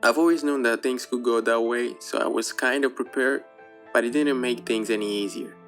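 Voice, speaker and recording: A young man whose English carries a Portuguese accent tells a personal story in a casual, candid way, dropping the odd swear word. His voice sits at an ordinary middle pitch for a man. Soft guitar music plays faintly underneath. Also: normal speed. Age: young adult. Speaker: YouTuber.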